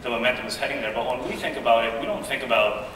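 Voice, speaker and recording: A man explaining his points as a software executive on a conference panel, restating them in a measured way. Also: medium pitch. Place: conference panel stage in a large hall. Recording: echoey hall, distant mic, loud room noise.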